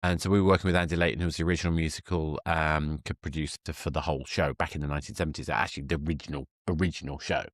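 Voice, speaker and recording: A man's voice: rumbling low, steady tone, racing, few pauses, no room sound, clean studio sound. A man hosting a casual interview, talking with emphatic enthusiasm and rephrasing as he goes. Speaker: podcast host.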